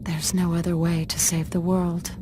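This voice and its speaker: A woman's voice: fairly low pitch, little pitch movement, normal speed, over music, natural voice. A woman acting a haunted, determined warrior in a video game, curt and intense with a defiant edge. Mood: bitter, defiant. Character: embittered, determined female warrior, haunted but resolute. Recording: clear background noise and ambience.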